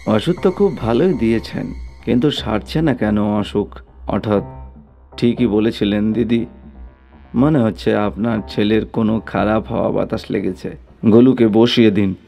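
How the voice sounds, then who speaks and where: A male narrator acts out a stern village exorcist in a horror cartoon. His voice is mellow and dark, with faint music behind it. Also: on the low side, normal speed, vocal fry. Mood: grave, puzzled.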